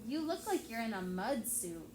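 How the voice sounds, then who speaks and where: A careful, bookish young boy from a children's adventure story, acted out by a woman. He speaks earnestly in a higher voice. The room echoes, and there is clear background noise. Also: very animated, normal speed. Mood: urgent.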